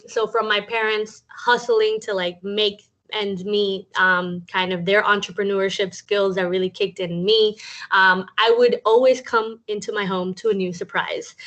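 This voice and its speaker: A woman's voice: mid-range voice, fairly bright tone, muffled recording. A young woman from Cuba giving a casual talk over a video call, chatty and full of um fillers. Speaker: guest speaker.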